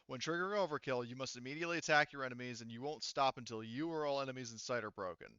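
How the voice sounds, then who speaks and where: A man's voice: medium pitch, racing, pushed and bright, clear, resonant tone, no room sound, highs cut off. A man casually running a tabletop game as game master, explaining rules and narrating the action.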